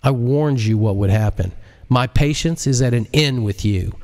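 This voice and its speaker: A man's voice: mid-range voice, moderate pace, a little mellow, lightly acted. A man voicing a cocky, sardonic computer genius with a mocking, folksy, scolding manner in an audiobook. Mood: stern, accusing.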